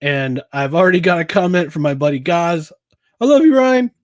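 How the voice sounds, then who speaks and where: A man's voice: a little high, expressive, moderate pace, no echo. A man hosting a casual solo podcast about sports and beer, rambling with chuckles, filler words and restarts. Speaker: podcast host.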